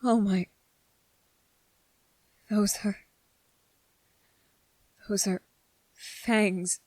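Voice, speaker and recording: A woman voicing a gentle, earnest young male soldier in a soft-spoken, halting ASMR roleplay. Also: medium pitch, steady tone, dry recording. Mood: nervous, hushed. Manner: hesitant, halting, repeating phrases, earnest.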